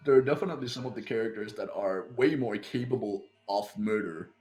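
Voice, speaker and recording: A fairly low-voiced man joking with friends over a game. He is animated and swears casually as he speculates. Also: fairly quick, slightly rough, faint music behind. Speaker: player in a group playthrough. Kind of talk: casual chat.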